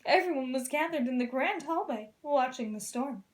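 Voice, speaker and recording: A woman reading a children's storybook aloud in an expressive, gentle princess persona. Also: sits high, moderate pace.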